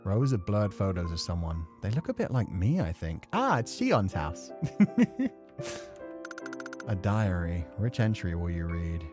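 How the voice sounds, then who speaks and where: Low, animated male gaming streamer. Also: unhurried, over music. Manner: casual, sweary, muttering asides to himself. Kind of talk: let's play commentary mixed with reading game text aloud.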